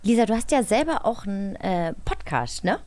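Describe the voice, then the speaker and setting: A woman's voice: medium pitch, big pitch swings, normal speed, a little mellow. A woman hosting a podcast, putting questions to a guest in a casual, conversational way. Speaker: podcast host. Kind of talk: casual interview chat.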